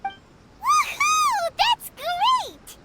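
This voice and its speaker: Casting: tiny eager fairy sidekick, extremely high childlike voice, lively swings, video game. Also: female voice, very slow, very noisy, full character voice. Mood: excited. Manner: exclamatory, refers to self in third person. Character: excitable small floating fairy girl companion, childlike and eager. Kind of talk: English video game character dub. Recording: music behind the voice.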